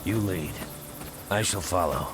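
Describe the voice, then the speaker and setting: A man voicing a proud, wry centaur warrior in a video game, gruff and measured, speaking formally in a deep gravelly voice. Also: background noise. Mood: solemn, earnest. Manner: measured, formal, terse phrasing. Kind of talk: dramatic video game cutscene acting. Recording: loud music behind.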